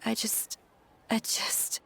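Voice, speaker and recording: An actress playing a gentle, emotional young noblewoman in a video game, with a polite, expressive delivery. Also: slow pace, no echo. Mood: tearful, apologetic. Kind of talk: dramatic video game voice acting. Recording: music behind the voice.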